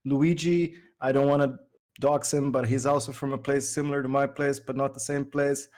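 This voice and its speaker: Man, Portuguese speaker, medium pitch, informal open-stage host on a voice call. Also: flat delivery, moderate pace, darkish tone. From Brazil. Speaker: participant leading an open-stage livestream. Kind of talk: casual group chat. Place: remote voice call.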